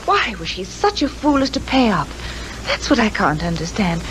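Lady doing an excitable british secretary type, voice goes up and down a lot, noisy old radio play. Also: mid-range voice, normal speed, lightly acted. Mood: indignant, flustered. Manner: flustered, exclaiming, indignant. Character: excitable, indignant, soft-hearted spinsterish female assistant. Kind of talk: 1950s British radio drama acting. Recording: very noisy 1950s radio recording.

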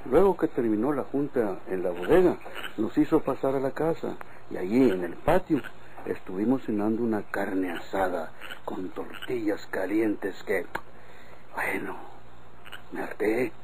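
Casting: man playing complacent Mexican country husband, gravelly mellow mid voice, colloquial, old radio serial. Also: on the low side, normal speed, close to an everyday voice, poor audio. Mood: contented, sated. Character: easygoing, trusting, sleepy country husband. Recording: old radio recording, faint noise.

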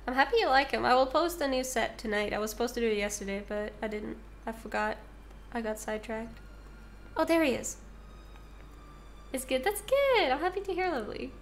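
Bubbly high-voiced woman streamer. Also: fairly quick, slight background noise. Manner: bubbly, chatty, reads chat aloud. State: sick, congested. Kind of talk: casual live-stream chat.

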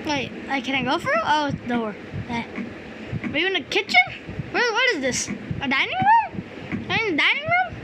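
A video host giving excitable game commentary, reacting aloud and repeating words. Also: very high voice. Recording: clear background noise.

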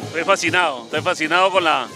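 A middle-aged man from Brazil chatting in a jovial, animated way as a visiting guest, on a handheld mic outdoors. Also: a little high, quick pace. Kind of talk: casual interview chat. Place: outdoors at a crowded festival table. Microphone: interviewer's handheld microphone. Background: music and outdoor sounds.

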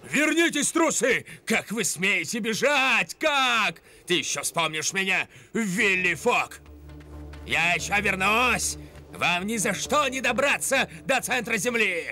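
Bossy wolf baddie from a cartoon, really sharp voice, theatrical and mocking, music behind. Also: male voice, high voice, animated, slow, heavily acted. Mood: enraged. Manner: mocking, theatrical, barking orders. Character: gloating, bossy male wolf-like villain, hot-tempered schemer. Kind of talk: dubbed cartoon acting.

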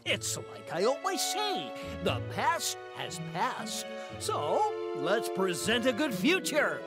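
A jolly grandiloquent bookish monster for a cartoon game, high swoopy voice, loud music under it. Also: male voice, huge pitch swings, takes it slowly, heavily acted. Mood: jolly, grand. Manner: theatrical, grandiloquent. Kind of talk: animated game cutscene acting.

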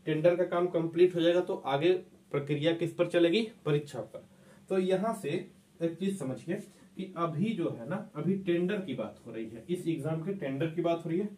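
A man in his thirties teaches in an informal, emphatic way, repeating key points for his students. His voice sits a little high. The room rings with clear echo, and he is some way from the microphone. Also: dark tone. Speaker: exam coaching teacher. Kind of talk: informal whiteboard lecture to camera. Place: small indoor classroom at a whiteboard. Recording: echoey room, distant microphone.

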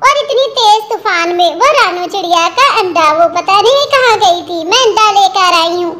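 A scheming cartoon she-crow acted by a woman chatters in a very high voice. Clear noise sits behind the recording. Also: animated, normal speed. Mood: greedy glee.

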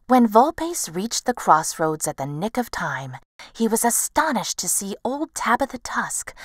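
A woman's voice: a little high, no room sound, studio quality. A woman narrating a scripted story in a storybook style. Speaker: storyteller, voice actor.

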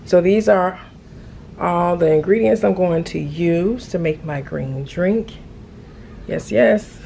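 A middle-aged woman with a slightly low voice talks very slowly and casually, as in a lifestyle vlog. She is in a home kitchen, with clear ambient noise behind her. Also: dark tone. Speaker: lifestyle vlogger.

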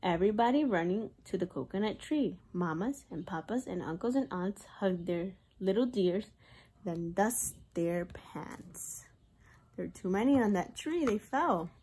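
A woman's voice: mid-range voice, lively intonation, measured pace, dark tone, breathy edge. A young woman, a preschool teacher, reads a picture book aloud in a sing-song way for young children. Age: young adult.

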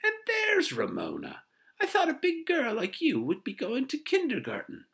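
A man voicing a gushing, nosy middle-aged neighbor lady in a shrill falsetto, with exaggerated cooing exclamations, for a children's storybook. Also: wildly swooping pitch, moderate pace, vocal fry, fairly bright tone, heavily acted.

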